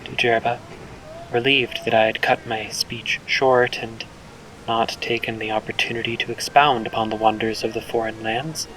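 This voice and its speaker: Earnest male adventurer-narrator acted by a man, bright tense voice, mid pitch, measured dramatic storytelling, faint rumble behind. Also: little pitch movement, close to an everyday voice, low-quality recording. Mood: solemn. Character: earnest male adventurer recounting his journey. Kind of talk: first-person narration in audio fiction.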